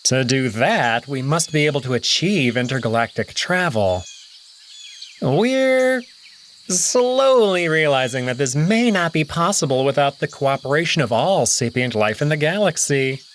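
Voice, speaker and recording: A man voicing a young male alien prince and diplomat, speaking in a measured, formal, unhurried way. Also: on the high side, animated, slight background noise. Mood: explanatory.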